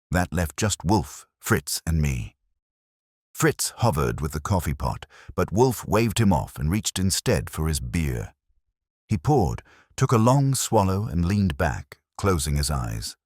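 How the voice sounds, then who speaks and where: A man narrating a detective story in the first person, with a gravelly voice and dry, hard-boiled delivery. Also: sits low, no echo. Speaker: audiobook narrator.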